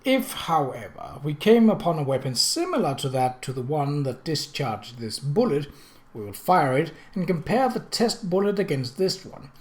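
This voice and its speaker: Gentleman sleuth, voiced by a male narrator: slightly high-pitched, analytical, formal and self-assured, methodical audiobook delivery. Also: big pitch swings, normal speed. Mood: explanatory. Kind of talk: audiobook character dialogue.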